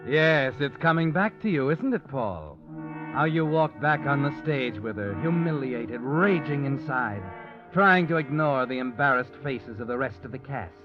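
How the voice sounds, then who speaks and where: A man's voice: mid-range voice, expressive, a little slow, close to an everyday voice. An actor playing the brooding, all-knowing male narrator of a 1940s radio drama, speaking straight to the hero in an insinuating tone. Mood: ominous. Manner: addresses the hero directly, insinuating. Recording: dull old radio broadcast with music.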